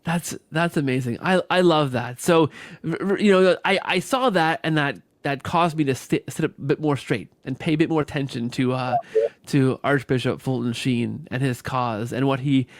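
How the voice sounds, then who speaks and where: A man's voice: mid-range voice, moderate pace, no echo. A man hosting a conversational interview, effusive, repeating words and often tagging sentences with "right?". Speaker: podcast host.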